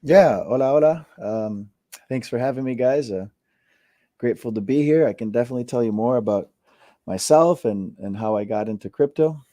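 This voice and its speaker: A man in his thirties, from Costa Rica, chatting casually as an interview guest, relaxed with many fillers and repeated words. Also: medium pitch, moderate pace, no echo. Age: 30s.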